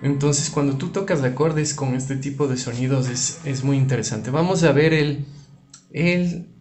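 Male guitar instructor, mid-pitched, halting explanatory delivery, roomy sound with guitar behind. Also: a little mellow. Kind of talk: informal product tutorial. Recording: roomy, reverberant room sound. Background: electric guitar playing.

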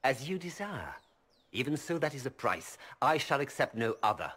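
An actor playing a scheming male merchant who talks in a smooth, formal, ingratiating way, with a husky voice. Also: medium pitch, sing-song, moderate pace, a bit bright. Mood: smug, ingratiating. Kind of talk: video game voice acting.

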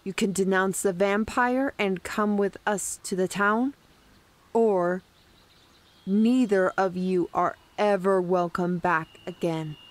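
A woman playing a hostile, stern townswoman in a fantasy roleplay audio drama, deliberate and accusatory. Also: mid-range voice, steady tone, lightly acted. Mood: stern accusation.

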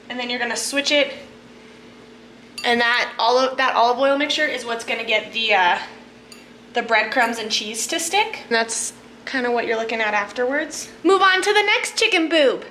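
A young woman talks through her cooking in a bright, high-ish voice, chatty and a little flustered, poking fun at herself. The sound comes from a camera set up across a small home kitchen, so it rings with room echo. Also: a little hiss or hum. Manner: self-deprecating, flustered, chatty. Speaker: cooking vlogger. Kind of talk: casual cooking vlog. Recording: echoey kitchen, far camera mic.

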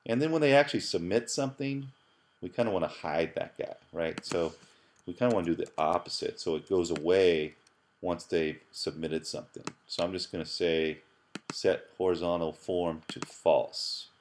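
A man's voice: deep voice, normal speed, darkish tone, a little hiss or hum. A middle-aged man, a professor, narrating a screencast tutorial in a casual, thinking-aloud way with fillers and repeated words. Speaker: professor teaching app programming.

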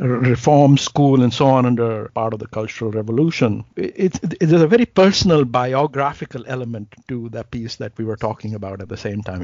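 A man's voice: medium pitch, a little mellow, dry recording, dull audio. Man hosting an interview, probing. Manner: probing, frequent ums and backchannels. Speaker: podcast host. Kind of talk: interview conversation.

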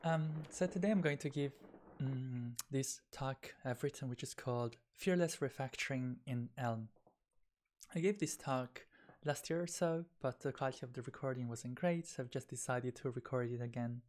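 A man in his thirties who grew up in Italy, giving a recorded software talk from a small room at his desk in a loose, conversational way full of "you know" and "um". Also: mid-range voice, moderate pace, a little hiss or hum. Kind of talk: recorded tech talk presentation.

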